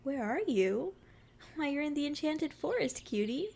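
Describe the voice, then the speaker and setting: Casting: female performer as a sweet, playful forest fairy for ASMR roleplay, very high voice with a creaky edge, teasing and curious. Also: lively intonation, moderate pace, a little mellow. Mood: playful, welcoming. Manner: sweet, flirty, teasing endearments. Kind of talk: ASMR roleplay, addressing the listener.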